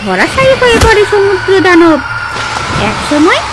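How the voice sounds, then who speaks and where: A woman narrating a children's fairy tale in a scripted, expressive and dramatic storytelling style, with music underneath. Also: extremely high pitch, moderate pace, very noisy.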